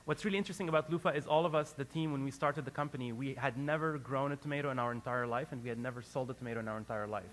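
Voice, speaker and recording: A man's voice: mid-range voice, steady tone, very fast, few pauses, a bit bright. A man who grew up in Lebanon, a company founder giving a business presentation to an audience, earnest and hesitant, with many fillers and restarts.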